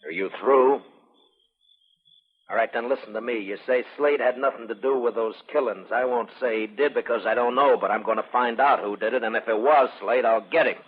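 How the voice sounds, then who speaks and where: An actor playing a stern, steady frontier marshal in a 1950s radio western, measured and firm. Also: male voice, medium pitch, slightly rough, narrow, tinny audio, natural voice. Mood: firm warning. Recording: reverberant, band-limited old radio broadcast.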